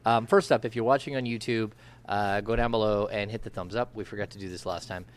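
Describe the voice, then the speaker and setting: Deep-voiced man hosting a sports podcast. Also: steady tone, quick pace. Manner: rambling, filler-heavy, friendly. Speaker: podcast host. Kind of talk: casual sports podcast chat.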